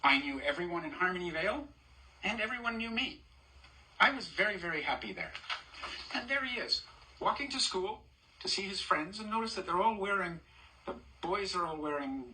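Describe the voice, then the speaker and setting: Older male storybook reader, high-ish, very bright voice; chatty, asks the kids questions between pages. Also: very animated, normal speed, poor audio. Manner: warm, chatty, stops to ask children questions and point at pictures. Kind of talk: reading a picture book aloud to children.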